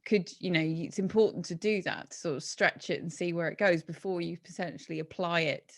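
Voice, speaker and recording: Lower-pitched woman with a creaky voice, interviewing. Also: steady tone, fairly quick. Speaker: podcast host and interviewer. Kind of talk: conversational interview.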